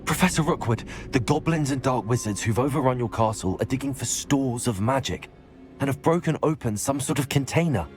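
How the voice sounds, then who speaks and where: A young man acting as a determined wizard student in a video game, polite, measured and earnest. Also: medium pitch, faint music behind, close to an everyday voice. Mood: earnest, concerned.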